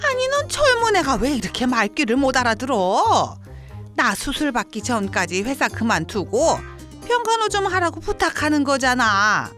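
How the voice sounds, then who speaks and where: A woman's voice: high voice, sing-song, moderate pace, fairly bright tone. A woman playing an entitled, sick older mother-in-law, groaning and nagging in a dramatized story video. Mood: demanding, irritated. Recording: music behind the voice.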